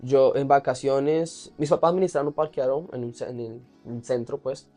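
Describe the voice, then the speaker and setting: Colombian man, mellow voice, casual storyteller. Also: mid-range voice, fairly even intonation, normal speed, faint music behind. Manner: candid, often laughing as he talks. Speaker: interview guest, entrepreneur.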